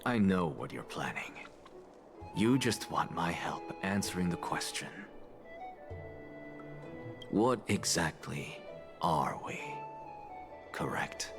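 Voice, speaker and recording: Haughty aloof scholar in a game, low voice, cool and curt, music behind. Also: male voice, expressive, takes it slowly, long pauses, heavily acted. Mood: cool, composed. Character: aloof, arrogant male scholar sharing his body with a titan. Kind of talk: scripted game cutscene dialogue.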